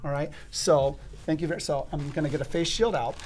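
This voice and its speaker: A man explaining CPR steps as an instructor in a podcast studio, with a loud, emphatic teaching voice and frequent restarts. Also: on the high side, fairly quick, a little hiss or hum.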